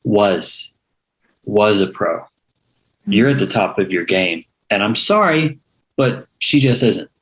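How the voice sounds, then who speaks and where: A man playing an earnest, meddling skeptic at a screenplay table read, with measured, pointed delivery. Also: on the low side, lively intonation, fairly bright tone. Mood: disapproving. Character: earnest, meddling man casting doubt on someone.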